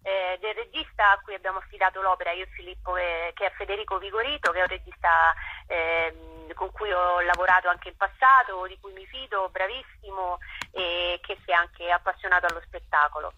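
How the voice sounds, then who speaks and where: A woman in a casual phone interview, talking haltingly and often rephrasing herself. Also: lowish voice, fairly even intonation, slight background noise. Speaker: actress and playwright, interview guest. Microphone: telephone. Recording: dull, band-limited phone line.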